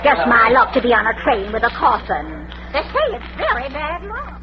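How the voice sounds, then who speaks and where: An actress playing a chatty, nosy, superstitious matron in an old radio mystery. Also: female voice, high voice, very animated, normal speed, over music. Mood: chatty, uneasy. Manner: chatty, folksy, dramatic. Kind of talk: 1940s radio comedy-mystery acting. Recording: noisy, dull vintage radio recording.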